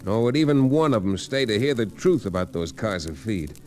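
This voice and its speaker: A man acting a steady, reasonable western hero in an old-time radio drama, earnest and folksy. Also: fairly low pitch, fairly quick, a little hiss or hum. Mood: grave narration. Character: steady, reasonable male western hero who narrates his tale.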